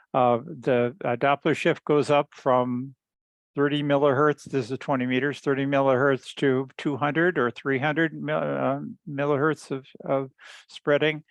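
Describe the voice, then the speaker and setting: Man, gravelly mid-to-low voice, halting technical explainer on a conference call, dry close sound. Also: no room sound. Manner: halting, repeats words, frequent throat clearing. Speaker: engineer presenting research at a teleconference. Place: group teleconference call.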